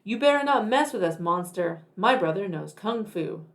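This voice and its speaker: A bold, cheeky storybook boy, put on by a woman. The voice is childlike and exclaiming, jumping around a great deal in pitch. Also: animated, normal speed, lightly acted. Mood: bold, bluffing. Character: two young brothers, bold and cheeky boys.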